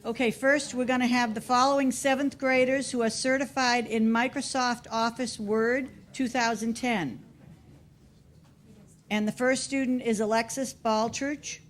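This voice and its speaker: Slow-paced Boston woman reading out names. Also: on the high side, fairly even intonation, slight background noise. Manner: deliberate, announcing each name separately. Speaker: school staff member presenting student awards. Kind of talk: reading out names at a formal meeting. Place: school board meeting room.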